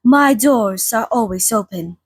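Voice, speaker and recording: A genteel female vampire, played by a woman, chats with her interviewer in an intimate ASMR roleplay. Her voice sits on the high side and is recorded bone dry. She is polite and a little formal, with gently wry, old-fashioned turns of phrase. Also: lively intonation, a little slow, husky, dry recording, heavily acted. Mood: gentle, sincere. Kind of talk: ASMR roleplay, in-character monologue to listener.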